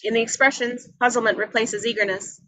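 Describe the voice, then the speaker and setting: High-voiced woman reading screenplay directions. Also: steady tone, fairly quick, bright, forceful tone, no room sound, rough recording. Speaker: reader of action descriptions. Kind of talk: scripted narration of screenplay directions.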